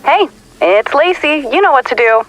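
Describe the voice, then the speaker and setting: A high-pitched female friend on the telephone. She talks casually, as a phone character in an audio drama. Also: lively intonation, normal speed, faint music behind, rough recording.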